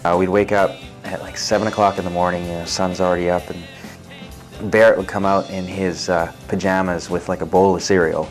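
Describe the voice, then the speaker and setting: A man's voice: sits low, level tone, moderate pace. A man telling stories casually in an interview, with laid-back run-on phrasing. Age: 30s. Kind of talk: casual storytelling in a documentary interview. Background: instrumental music with guitar.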